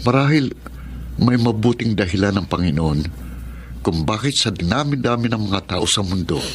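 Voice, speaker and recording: A steady young man, acted by a man in a radio drama, speaking gently and reflectively to comfort a friend. His voice is low and deep, and there is a faint hum behind him. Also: moderate pace, close to an everyday voice. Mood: reassuring.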